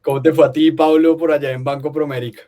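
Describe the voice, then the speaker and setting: A man's voice: fairly high pitch, level tone, fast talker, dark tone. A man moderating a webinar panel, friendly and conversational, reading out audience questions. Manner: friendly, conversational, playful asides.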